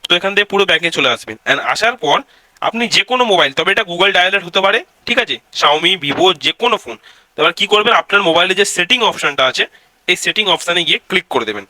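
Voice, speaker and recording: A man's voice: sits high, lively intonation, fast talker, bright, forceful tone, dry recording. A man hosts a casual step-by-step tech tutorial, addressing viewers directly and repeating his instructions.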